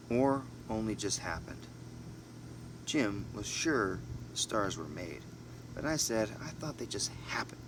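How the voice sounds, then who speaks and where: Low-voiced man reading, noisy background. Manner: informal, stumbles and corrects himself. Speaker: amateur audiobook reader. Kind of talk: storybook narration with asides. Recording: noisy home recording with steady hum.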